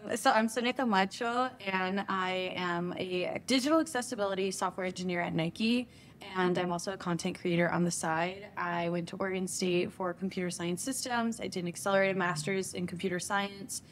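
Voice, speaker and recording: A young American woman, a guest on a studio talk show, telling stories in a lively, playful way. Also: fairly low pitch, a little fast, a little hiss or hum. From United States. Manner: lively, animated storyteller, playful. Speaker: guest, software engineer and content creator. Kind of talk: casual interview storytelling. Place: TV studio set, seated on couch.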